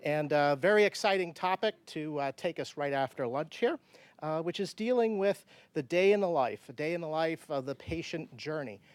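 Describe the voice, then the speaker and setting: A man introduces speakers as a conference session host. His voice is fairly high and very clear, captured dry, and his delivery is measured and a bit halting. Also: animated, dry recording.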